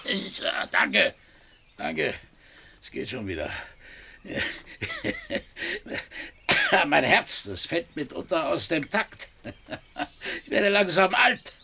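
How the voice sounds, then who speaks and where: A man's voice: fairly high pitch, very animated, takes it slowly, creaky, pauses often, pushed and bright, a little hiss or hum, heavily acted. An actor playing a proud old adventurer and father in a radio drama, jovial but easily flustered and stammering. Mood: shaken. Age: older. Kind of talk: German radio drama acting.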